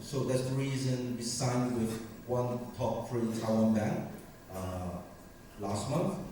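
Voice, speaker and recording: A man's voice: mid-range voice, warm tone, a little hiss or hum. A man on a conference panel speaking in plain, short sentences with loose phrasing. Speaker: panelist, startup founder. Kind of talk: panel discussion remarks. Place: large conference hall. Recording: echoey conference hall from far off.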